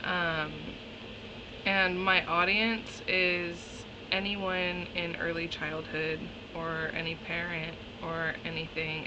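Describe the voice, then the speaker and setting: Slow, hesitant young woman over loud traffic noise. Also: lowish voice, dull audio. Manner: hesitant, pausing often with ums. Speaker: college student. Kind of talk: recorded class discussion post.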